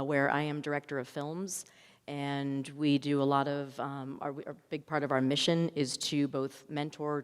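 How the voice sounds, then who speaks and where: A woman's voice: sits low, clear, resonant tone. A woman speaking conversationally as a film director answering audience questions, telling stories informally. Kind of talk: festival Q&A, conversational storytelling. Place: large reverberant hall at a public event. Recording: echoey hall with long reverb.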